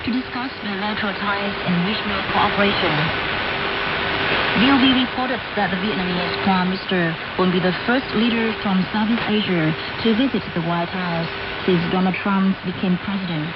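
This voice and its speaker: A woman's voice: medium pitch, steady tone, normal speed. A woman radio reporter narrating a scripted news report in a formal broadcast style. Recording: muffled, very noisy shortwave radio reception. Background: heavy radio static and noise.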